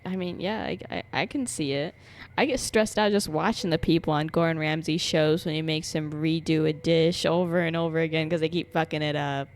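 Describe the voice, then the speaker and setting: A woman giving casual, slangy live reactions as a game streamer, expressive and giggly, swearing freely. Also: low voice, brisk, slight background noise.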